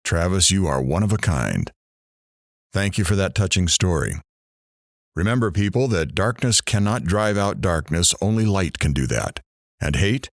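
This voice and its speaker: A man playing a sympathetic, philosophical radio talk show host in an audio drama, gently probing and reflective. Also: low voice, big pitch swings, moderate pace, no echo, clean studio sound.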